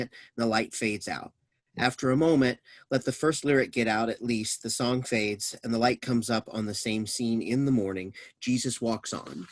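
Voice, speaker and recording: A man's voice: medium pitch, no room sound. A man giving scripted narration as the reader of stage directions.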